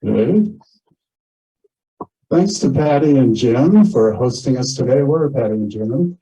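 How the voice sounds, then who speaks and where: A man's voice: medium pitch, expressive, a little slow, warm tone. A man chairing an informal community meeting, making announcements and reminders. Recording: dull, muffled meeting audio.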